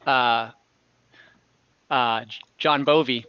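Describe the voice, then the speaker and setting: A man's voice: mid-range voice, steady tone, extremely slow, muffled recording, slight background noise. A man casually running an online tabletop game as game master. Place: online voice call.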